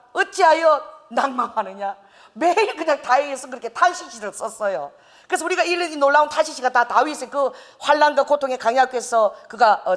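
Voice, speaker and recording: Woman pastor, expressive preacher with a swinging, lively pitch and a storyteller's laugh. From Busan, South Korea. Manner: animated storytelling, laughing often. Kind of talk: sermon.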